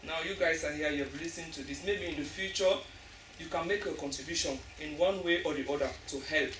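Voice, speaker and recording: A woman's voice: sits low, a little fast, a bit bright. A woman from Africa speaking emphatically as a panelist after a stage play, often restarting her phrases. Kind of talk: earnest audience discussion. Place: school hall. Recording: echoey hall from far off, noisy.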